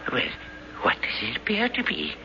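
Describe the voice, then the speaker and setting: An eccentric, pedantic dreamer, animated pitch. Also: male voice, normal speed, gravelly, exaggerated voice. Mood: earnest, proud. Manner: hesitant, pedantic, formal. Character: eccentric, penniless, earnest male philosopher with odd treasure. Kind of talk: radio drama acting. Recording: muffled, noisy old radio recording.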